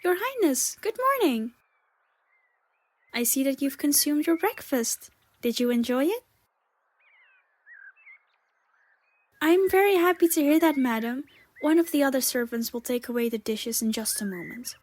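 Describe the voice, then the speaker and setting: A woman's voice: high voice, lively intonation, normal speed, darkish tone. A woman playing a polite, formal palace servant in a scripted fantasy audio roleplay. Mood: polite, cheerful. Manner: formal, courteous.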